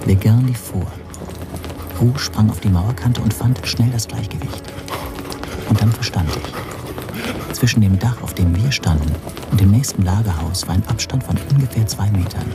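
An actor playing a weary, shaken man who narrates a German radio spy thriller in a measured, hushed, brooding manner. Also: lowish voice, close to an everyday voice. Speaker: first-person narrator and protagonist.

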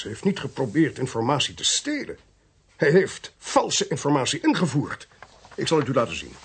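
An actor playing a blunt, commanding military intelligence chief in a radio drama, speaking in clipped, authoritative phrases. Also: male voice, medium pitch, slight background noise. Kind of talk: Dutch radio drama acting.

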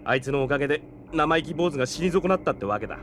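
Man acting a brash, fast-talking young reporter. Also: on the high side, noisy background, lightly acted. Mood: indignant, jealous. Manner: brash, excitable. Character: ambitious, jealous, hot-headed young male newspaper reporter. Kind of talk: anime audio drama acting.

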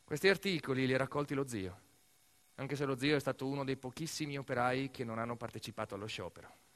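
A man performs a dramatic narrative monologue as an actor, telling the story deliberately in short, weighted phrases. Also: mid-range voice. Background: music.

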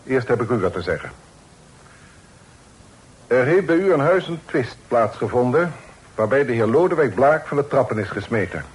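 A man's voice: mid-range voice, very animated, moderate pace, long pauses, breathy edge. A man playing a stern, suspicious father and magistrate in a Dutch radio drama, speaking in a formal, reproachful way. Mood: commanding, interrogating. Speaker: radio play actor. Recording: faintly noisy old radio play recording.

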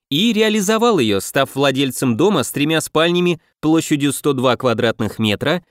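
A man's voice: fairly high pitch, no echo, clean studio sound. A man reading a scripted self-help book as a narrator, addressing the listener directly. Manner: rhetorical questions to the listener. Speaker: audiobook narrator.

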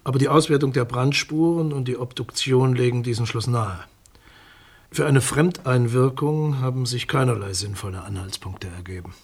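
A public prosecutor in a radio crime drama, with a medium-pitched, slightly husky voice. He is formal and guarded, setting out facts in precise, dry official language. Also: normal speed. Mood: factual, firm.

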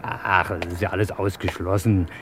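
Scheming gangster's sidekick, noisy vintage recording. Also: male voice, low-pitched, steady tone, normal speed. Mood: nervous musing. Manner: theatrical, chuckling, musing aloud. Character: nervy gangster's accomplice, male, flattering, scheming, tempted. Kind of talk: old German radio crime drama acting.

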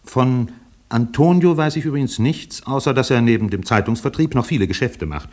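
An actor playing a wry narrator in a literary radio play, telling it in a measured, lyrical way. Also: male voice, mid-range voice, animated, darkish tone, lightly acted.

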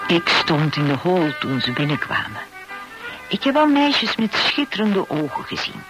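A woman's voice: on the low side, very animated, measured pace. A gossipy landlady narrating in an old radio play, over music. She confides fondly and takes her time, with lots of rise and fall in her voice. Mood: fond, confiding. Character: gossipy, fond guesthouse landlady telling a story. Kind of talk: radio drama narration to listeners.

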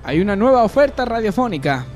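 Man as high, animated radio-promo saviour. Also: quick pace. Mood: earnest. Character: helpful radio-advert saviour addressing little Timmy. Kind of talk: comic radio promo sketch. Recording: loud music over the voice.

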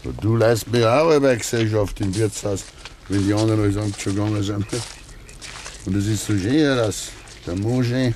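Man acting older Bavarian farmer, very low voice, brooding, drawn-out musing, 1950s radio drama, faint background noise. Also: a little mellow, natural voice. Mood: brooding, insistent.

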